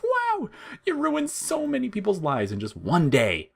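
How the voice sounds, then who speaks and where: High, taunting little flower monster. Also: male voice, wildly swooping pitch, unhurried, creaky, husky, exaggerated voice. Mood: smug, cruel. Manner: mocking, sneering, theatrical. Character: taunting, smirking, childish talking flower monster, male. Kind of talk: audio fiction character voice.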